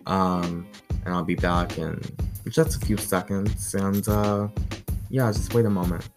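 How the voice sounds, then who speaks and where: A teenage boy with a deep voice, hosting his own vlog in a chatty, blunt rant that swears freely. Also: fairly even intonation, measured pace, music in the background. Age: teens. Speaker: vlog host. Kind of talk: casual ranting monologue.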